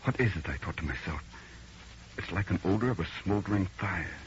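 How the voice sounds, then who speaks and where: A man's voice: sits low, lively intonation, fairly quick. An actor playing a dazed, uneasy city man telling an eerie tale in old radio drama style, earnest and haunted. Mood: hushed, ominous. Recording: muffled old radio recording, faint noise.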